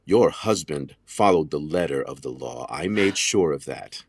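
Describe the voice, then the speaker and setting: An actor playing a smooth, condescending estate lawyer in an audio drama, with precise, formal, patronizing speech. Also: male voice, rumbling low, animated, unhurried, no room sound, studio quality, exaggerated voice. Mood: smug, reassuring. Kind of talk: audio drama acting, period dialogue.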